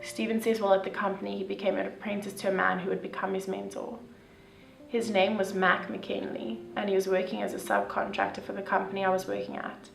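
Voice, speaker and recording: A woman's voice: mid-range voice, flat delivery, a little fast, gravelly. A young woman reading a story aloud, then reacting casually with lots of "like". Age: young adult. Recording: roomy, distant, with faint music.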